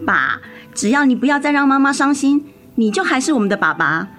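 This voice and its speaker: Woman as high-voiced pert teenage big sister. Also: big pitch swings, fairly quick, a bit bright. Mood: pouty, sassy. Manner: youthful, pert, protective. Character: teenage elder sister, nearly eighteen, caring but sassy. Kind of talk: radio drama acting. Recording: music under the voice.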